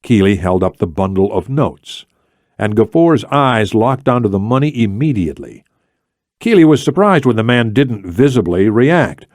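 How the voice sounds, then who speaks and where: A man narrates a thriller audiobook from a script. His voice sits fairly low, and the recording is very dry. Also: very animated, moderate pace, darkish tone, no echo.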